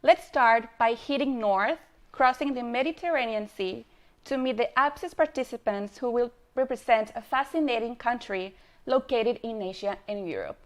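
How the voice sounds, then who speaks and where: A woman's voice: fairly high pitch, moderate pace. A woman hosting an online student showcase, announcing each country. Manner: announcing, welcoming. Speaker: event host. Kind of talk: scripted hosting.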